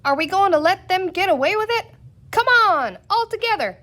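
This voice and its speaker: A woman voicing angry little green men in a storybook, shouting old-west cowboy slang. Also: extremely high pitch, very animated, moderate pace, pitched above the performer's own voice, heavily acted. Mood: rallying.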